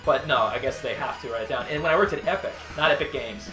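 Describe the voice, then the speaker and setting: A man's voice: medium pitch, fast talker, bright, forceful tone. A young man from Wisconsin co-hosting a gaming show, telling long rambling stories with plenty of swearing and restarts. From Wisconsin, USA. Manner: rambling storyteller, swears freely, restarts often. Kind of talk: casual commentary chat over gameplay. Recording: roomy recording with game music behind.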